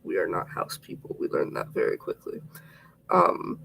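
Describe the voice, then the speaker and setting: A man's voice: deep voice, wildly swooping pitch, moderate pace, creaky, bright, forceful tone, a little hiss or hum. A sick, congested young man chatting casually while getting ready on a home livestream, rambling and often slipping into a whisper. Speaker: vlogger.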